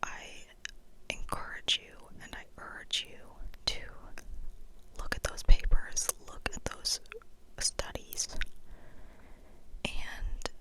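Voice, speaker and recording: A woman's voice: slow pace, pauses often, pushed and bright, low-quality recording. A woman whispering breathily in a playful, mock-serious ASMR monologue, casual and rambling, often checking in with the listener. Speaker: ASMR video host.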